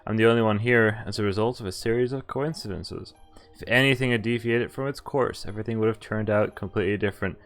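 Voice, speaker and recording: Low-voiced young man streaming a visual novel. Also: fast. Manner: casual, jokey, hesitant asides. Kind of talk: casual live stream commentary mixed with read-aloud narration. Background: game music.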